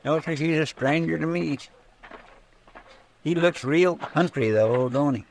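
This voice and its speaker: Creaky, drawling frontier sidekick. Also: male voice, fairly high pitch, a little slow, slight background noise, poor audio. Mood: folksy, watchful. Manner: rambling, deferential, folksy. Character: folksy, earnest, garrulous deputy of a western marshal. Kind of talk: 1950s western radio drama acting.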